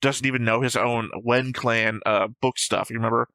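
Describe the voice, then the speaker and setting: A man's voice: medium pitch, flat delivery, moderate pace, bright, forceful tone, no echo. A man in a casual discussion, arguing his points and swearing now and then as he analyses a show. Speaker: host of an anime reaction show.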